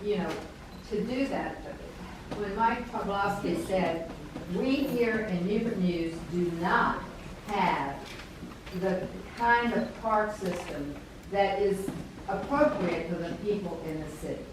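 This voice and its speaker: A woman's voice: mid-range voice, slow, background noise. Woman with a big voice in an echoey hall. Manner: forceful, says she has a big voice. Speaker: council member. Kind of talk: public meeting remarks. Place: council meeting room. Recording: echoey hall picked up from far off.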